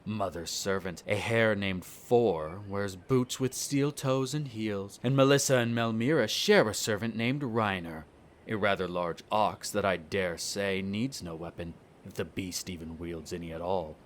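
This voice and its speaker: A man's voice: on the low side, a little slow, close to an everyday voice. A man playing a smug, cruel vampire noble in an audio roleplay, speaking in a haughty, condescending, formal drawl. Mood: proud, cold. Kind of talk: scripted audio roleplay acting.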